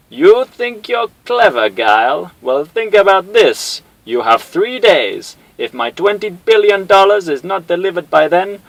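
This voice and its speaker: A man voicing a menacing warlord general who draws out his threats slowly and coldly. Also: on the high side, vocal fry, dry recording, pitched above the performer's own voice, heavily acted, low-quality recording. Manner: slow, drawn-out threats. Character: menacing male warlord general, cold and commanding.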